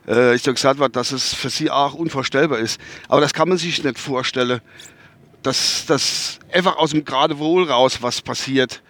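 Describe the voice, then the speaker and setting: A man's voice: medium pitch, fairly bright tone. Rambling man with a Westphalian accent. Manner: rambling, searching for words, many fillers and restarts; swears casually. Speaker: podcast host. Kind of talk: casual spoken podcast monologue. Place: inside a car while driving.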